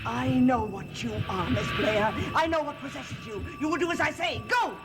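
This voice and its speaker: Female actor as stern devoted servant, solemn, insistent, formal gothic melodrama, loud music behind. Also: sits high, normal speed, natural voice. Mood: stern, commanding. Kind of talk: 1960s gothic soap opera acting. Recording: old TV soundtrack, loud music.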